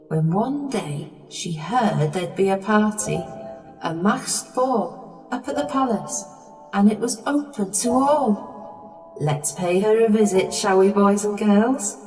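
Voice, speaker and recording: A woman's voice: mid-range voice, a little mellow. A woman playing a kindly, motherly fairy godmother who tells a pantomime story directly to children. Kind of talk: pantomime narration and acting. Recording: long hall reverb, distant, over music.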